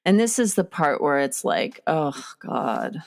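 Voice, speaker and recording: Woman, low-pitched voice, casual film discussion, emphatic, opinionated, sweary. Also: very animated, unhurried. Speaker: podcast co-discussant.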